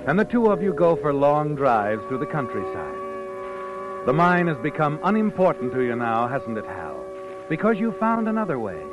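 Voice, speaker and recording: Insinuating radio drama narrator, highish animated voice, knowing, muffled vintage broadcast over music. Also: male voice, moderate pace. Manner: knowing, insinuating, needling rhetorical questions. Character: sly all-knowing narrator taunting a schemer. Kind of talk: 1940s radio suspense narration, second person.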